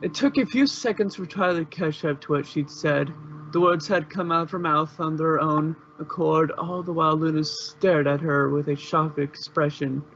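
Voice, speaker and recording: A man's voice: a little high, steady tone, moderate pace, fairly bright tone, lo-fi sound, a little hiss or hum. A man giving an informal narration of a fanfiction story.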